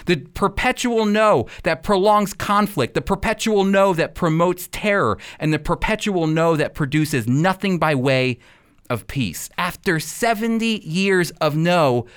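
Man, slightly high voice, emphatic, persuasive monologue, rhetorical repetition, program host. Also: animated, a little slow, clear, resonant tone. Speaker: ministry program host and commentator. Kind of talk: persuasive monologue, prepared commentary.

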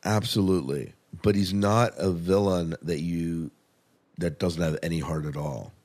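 A man's voice: low-pitched, steady tone, normal speed, a little mellow. A man hosting a podcast in casual discussion, reacting openly and putting leading questions to his co-host.

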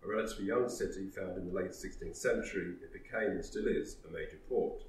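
A man with a very low voice gives a measured history lecture. He sounds set back from the microphone in a roomy, echoing space. A faint hum of room noise sits underneath. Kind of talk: historical talk. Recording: roomy echo, distant mic.

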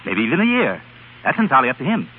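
An actor playing a frank, genial publishing editor in a brisk, cordial 1930s radio drama style. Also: male voice, medium pitch, huge pitch swings. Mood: frank, advising. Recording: muffled, noisy 1930s radio recording with hum.

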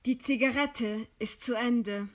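A woman playing a proud, resigned idealist facing execution in a literary radio play, with a solemn, measured, declamatory delivery. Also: fairly high pitch. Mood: weary, curt.

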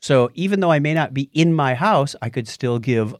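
Guy hosting a casual tech podcast, really animated storyteller, lively up-and-down voice, dry close sound. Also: medium pitch, moderate pace, no echo. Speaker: podcast host.

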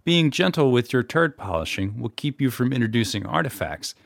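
A man's voice: mid-range voice, very animated, brisk, creaky, dry recording, studio quality. A man giving a scripted how-to talk as a podcast guest, in a wry, plainspoken way. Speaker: guest audio editor and podcast narrator.

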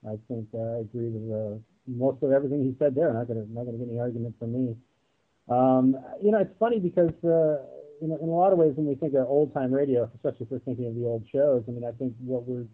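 A man speaking reflectively and hesitantly as a panelist in a casual discussion. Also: mid-range voice. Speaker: guest panelist, audio drama producer. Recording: narrow, tinny phone-like line.

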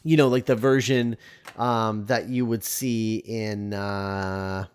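A man hosting a podcast, talking casually about film in a halting, hesitant way. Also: fairly low pitch, level tone, smooth. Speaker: podcast host.

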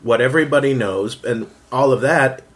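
A man's voice: mid-range voice, moderate pace, fairly bright tone. A man giving his opinions in a casual, analytical group conversation. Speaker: voice actor on a round-table.

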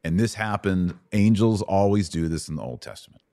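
A man's voice: deep voice, lively intonation, a little fast, warm tone, dry recording. A middle-aged man hosting a podcast, casually teaching in a live Bible Q&A and explaining things with paraphrased quotes in everyday words. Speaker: podcast host answering Bible questions.

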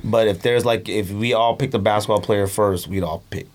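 A man's voice: fairly low pitch, steady tone, moderate pace. A man chatting casually as a podcast co-host, slangy and informal, playfully challenging the others. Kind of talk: casual chat and banter. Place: indoor podcast studio.

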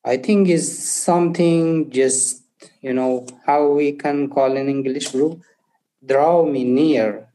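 A young man from Afghanistan, not an English speaker, telling his life story haltingly and searching for words. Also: fairly high pitch, fairly even intonation, mellow, poor audio. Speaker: interview guest. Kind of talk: personal testimony.

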